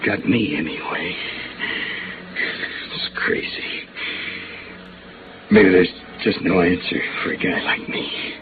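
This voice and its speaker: A dying American man, low and very slow, breaking his phrases as his strength fades. He is resigned and lovesick, in a muffled old radio drama. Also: noisy background. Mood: wistful, resigned. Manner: halting, broken phrases. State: weak, labored, fading breath. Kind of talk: 1950s radio noir acting. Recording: dull vintage radio recording, prominent music.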